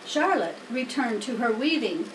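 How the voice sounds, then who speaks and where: A woman's voice: a little high, very animated, a little slow. A woman narrating a children's story aloud as a scripted reading. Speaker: storybook reader. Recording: roomy, distant mic, faint noise.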